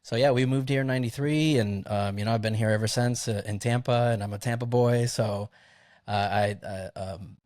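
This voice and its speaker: Thirties male guest from Puerto Rico, lower voice, relaxed and chatty, dry studio mic. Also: normal speed, no echo. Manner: relaxed, chatty, laughs often, says "like" a lot. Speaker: podcast guest. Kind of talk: casual interview chat. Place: his own podcast studio. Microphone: remote recording over video call.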